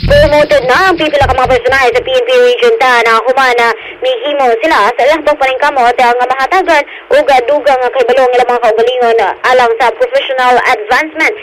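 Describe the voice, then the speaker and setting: A woman with a Filipino accent giving a radio field report, halting with frequent hesitations and repeats. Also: high-pitched, steady tone, over music. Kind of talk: news report, partly improvised. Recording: noisy remote radio report feed.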